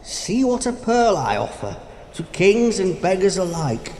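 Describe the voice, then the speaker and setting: An actor playing Death personified as a sly, taunting figure with grandiose, mocking declamation in a stage-like audio drama. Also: male voice, sits high, big pitch swings, unhurried, a little mellow, full character voice. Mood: coaxing. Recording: echoey hall, faint noise.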